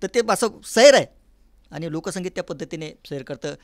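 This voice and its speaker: Maharashtrian guy being interviewed, kinda high voice, earnest, explains with examples, dry studio sound. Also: animated, a little fast, no echo. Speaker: interview guest, folk artist and academic department head. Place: recording studio.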